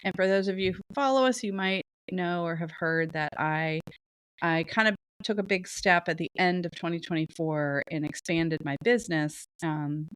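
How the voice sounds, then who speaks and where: A woman's voice: deep voice, no room sound, poor audio. Woman with a stuffy nasal voice. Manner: chatty, rambling, laughs often. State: stuffy nasal voice from a sinus cold. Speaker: podcast co-host. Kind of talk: casual chat.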